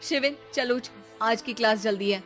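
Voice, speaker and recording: Woman performing an exasperated mom, high voice, sharp-tongued, scolding, sarcastic, comic audio drama, faint music behind. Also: normal speed, lightly acted. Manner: sarcastic, nagging, theatrical. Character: exasperated Indian mother, sharp-tongued, scolding her young son and husband.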